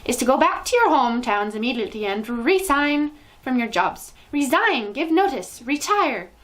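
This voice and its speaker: Tyrannical storybook witch boss, voiced by a woman, high and screechy, menacing, grandly theatrical. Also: big pitch swings, normal speed, a bit bright. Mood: commanding. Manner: screeching, imperious, theatrical, oddly inverted grammar. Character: tyrannical, raging witch leader, shrill and menacing. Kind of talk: children's storybook character reading.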